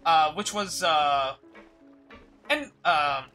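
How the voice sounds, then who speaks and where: A streamer talks casually over a game in a bright, forward voice. The delivery is halting, circling back over phrases while thinking. Faint music sits in the background. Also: mid-range voice. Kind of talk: casual live game commentary and reading dialogue aloud.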